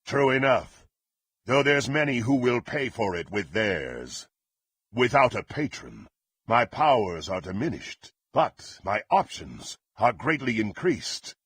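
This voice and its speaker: An actor playing a power-hungry, contemptuous dark warrior in a fantasy video game, with a gravelly, husky voice and haughty, theatrical, archaic phrasing. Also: male voice, medium pitch, sing-song, slow, heavily acted. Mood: cold, menacing.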